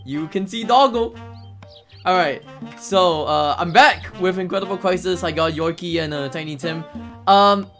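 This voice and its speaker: A man's voice: sits high, moderate pace, fairly bright tone. A man giving casual, hesitant live commentary as he speedruns a video game, often repeating words. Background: game music.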